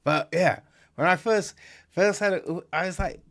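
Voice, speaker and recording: A man's voice: sits high, normal speed, gravelly, fairly bright tone. A man giving a casual, unscripted film review as a podcast host, rambling and repeating himself, with frequent check-in tags and informal, chummy phrasing. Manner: rambling, repetitive, peppered with "right?" and "you know"; informal, chummy address, mild swearing.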